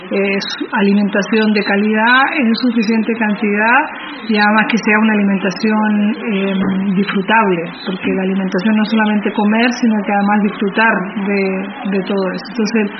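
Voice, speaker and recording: A woman's voice: medium pitch, flat delivery, moderate pace. A woman from Chile with a Chilean accent, an activist and researcher answering interview questions in a thoughtful, explanatory way with frequent hesitation fillers. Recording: muffled noisy interview recording.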